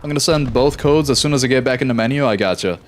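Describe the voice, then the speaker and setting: A young man live-streaming a shooter game, chatting casually with his viewers and reacting quickly during fights. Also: mid-range voice, slight background noise. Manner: easygoing, chatty, reads chat aloud, quick reactions mid-fight. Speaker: gaming streamer. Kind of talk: casual live-stream chat while playing.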